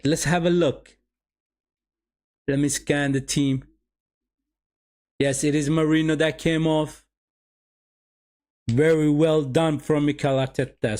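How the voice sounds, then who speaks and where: A man whose first language is Somali, running a live football watch-along and calling the game for his viewers, often repeating his phrases. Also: on the high side. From Sweden.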